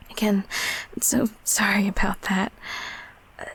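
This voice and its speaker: A woman voicing a gentle, protective childhood closet monster in an intimate comfort roleplay, in a soft, breathy, gravelly whisper with a halting, reassuring delivery. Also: mid-range voice, heavily acted, poor audio. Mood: protective, teasing.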